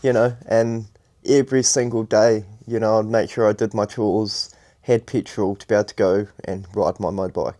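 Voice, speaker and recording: A young man co-hosting a podcast, talking calmly and thoughtfully, with lots of fillers. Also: fairly low pitch, moderate pace, few pauses. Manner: thoughtful, calm, many fillers. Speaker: podcast co-host. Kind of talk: casual chat.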